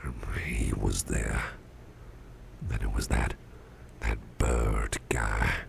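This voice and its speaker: An actor playing a sickly, gruff but genial boss in an ASMR roleplay, musing slowly and haltingly in a half-whisper close to the microphone. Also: male voice, little pitch movement, a little hiss or hum, heavily acted. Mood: musing, uneasy. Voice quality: very deep, gravelly, breathy half-whisper. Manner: slow, halting, folksy musing with pauses.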